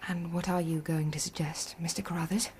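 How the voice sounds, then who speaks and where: An actress playing a composed young governess, soft-spoken and polite, in a radio drama. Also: female voice, on the low side, steady tone, brisk, slightly rough. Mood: reserved. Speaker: Violet Smith.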